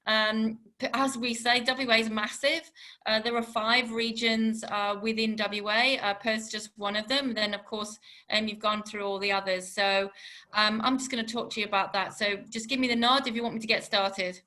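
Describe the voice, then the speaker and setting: British woman with a slight Australian tinge, bright, fairly high voice, eager chatty presenter, video call. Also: level tone, normal speed. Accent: British with some Australian. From Channel Islands. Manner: enthusiastic, chatty, many fillers. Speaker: tourism board guest speaker. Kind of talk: webinar presentation.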